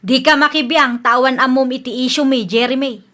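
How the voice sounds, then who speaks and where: An actress with an Ilocano Filipino accent playing a proud, quarrelsome young daughter in a comedy radio drama. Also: female voice, sits high, steady tone, fairly quick, a bit bright. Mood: irritated.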